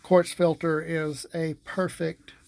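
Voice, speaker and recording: Gravelly man explaining technical gear. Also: fairly high pitch, flat delivery, slow pace. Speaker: radio technician giving a demonstration. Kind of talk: informal technical explanation over a demonstration.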